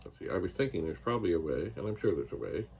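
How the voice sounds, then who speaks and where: A soft-spoken man casually thinking aloud while testing old computer gear in his home computer room, trailing into whispered asides. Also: sits low, animated, racing, a little hiss or hum, rough recording. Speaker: retro computer vlogger. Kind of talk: casual rambling narration while testing hardware. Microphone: phone mic.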